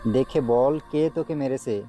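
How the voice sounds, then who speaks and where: A man's voice: medium pitch, unhurried, dark tone, soft background music, natural voice. A man playing a cruel young campus gang boss in an audio drama, commanding and taunting. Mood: menacing. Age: young adult. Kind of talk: Bengali audio story acting.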